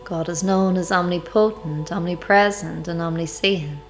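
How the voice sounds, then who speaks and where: A woman leading a devotional guided meditation, speaking in measured, deliberate phrases with pauses. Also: on the low side. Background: background music.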